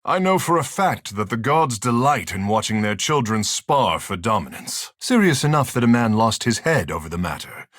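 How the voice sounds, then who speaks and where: A man's voice: mid-range voice, sing-song, moderate pace, hardly any pauses, fairly bright tone, no room sound, studio quality. An actor voicing a vain, charming young sea-blessed fish-man in a video game, with theatrical, grandiloquent, teasing delivery and formal phrasing. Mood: boastful, playful. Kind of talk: video game character dialogue.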